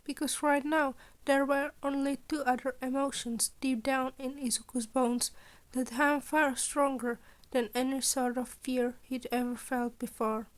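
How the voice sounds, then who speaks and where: A woman narrates a written story for a podfic. Her voice is notably high, and she delivers the prose as scripted storytelling.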